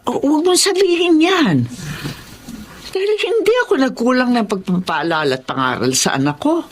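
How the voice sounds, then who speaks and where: A woman's voice: high voice, animated, normal speed. A woman playing a protective, admonishing mother of a grown son in a radio drama. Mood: pleading, defensive. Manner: earnest, admonishing. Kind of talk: Tagalog radio drama acting.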